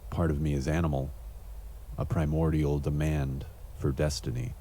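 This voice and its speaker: A world-weary noir antihero from a cyberpunk game. His voice is extremely deep and mellow, with a deadpan, cynical, brooding delivery. There is faint noise behind him. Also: normal speed. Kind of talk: noir monologue voice acting in an adventure game.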